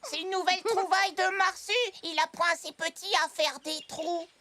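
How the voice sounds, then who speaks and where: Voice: very high-pitched, fairly even intonation, moderate pace, pushed and bright, slight background noise. An actor voicing a chatty, enthusiastic kid in a cartoon. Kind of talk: French cartoon dubbing.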